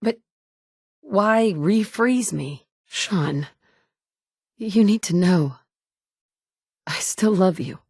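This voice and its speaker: An actress voicing the player heroine of a wasteland game, delivering short, clipped, matter-of-fact lines. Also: female voice, mid-range voice, animated, very slow, long pauses, darkish tone, husky, no room sound, studio quality, exaggerated voice. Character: woman survivor, player hero of a wasteland game. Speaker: player character.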